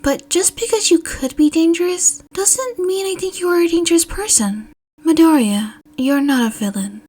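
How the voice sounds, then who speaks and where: A woman's voice: extremely high pitch, moderate pace, a little mellow, voice pushed up. A woman voicing a confident, caring teenage girl in a narrated story. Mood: confident, reassuring.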